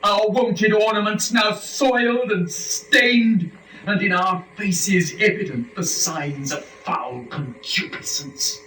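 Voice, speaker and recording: A man performs an impassioned first man in a dramatic reading of epic verse. He declaims at a very slow, deliberate pace with sweeping emphasis. The recording is roomy and distant, with the microphone well away from him. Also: high voice, bright, forceful tone, a little hiss or hum, full character voice. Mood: anguished shame.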